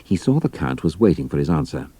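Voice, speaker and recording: A man's voice: extremely low pitch, very animated, quick pace, dark tone, husky, dry recording. A man with a British accent narrating a scripted adventure story as part of an audio drama.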